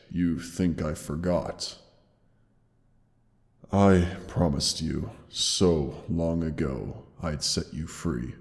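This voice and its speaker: A man voicing a wounded, dying ancient dragon who speaks to his beloved with slow, weary tenderness. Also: a little mellow, in a large hall, heavily acted. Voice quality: deep gravelly voice. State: wounded, pained. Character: dying ancient male dragon, gentle and loving. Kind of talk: audio roleplay drama.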